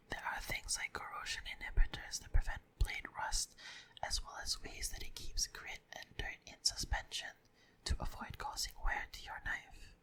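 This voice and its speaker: A woman whispering a scripted ASMR sponsor read in a soft, breathy, deliberate way. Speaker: video host reading a sponsor message.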